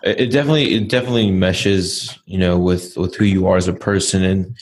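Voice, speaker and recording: A man co-hosts the conversation, stumbling over his first words before he makes his point. His voice is very low and he keeps his remarks dry. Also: steady tone, quick pace, low-quality recording. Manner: stammering, dry. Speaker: co-interviewer. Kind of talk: casual interview.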